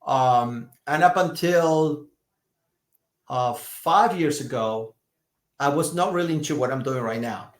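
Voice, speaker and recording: A man in his fifties, a doctor being interviewed, telling stories in a lively way, often restarting and repeating words. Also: medium pitch, slow, roomy sound. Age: 50s. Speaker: functional medicine doctor, guest. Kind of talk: conversational podcast interview.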